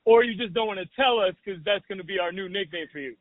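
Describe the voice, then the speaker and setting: A man with a notably high voice asks loose, halting questions as a relaxed podcast host. The sound is thin and phone-like and completely dry. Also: dry recording. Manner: easygoing, chuckling, halting questions. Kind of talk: casual interview.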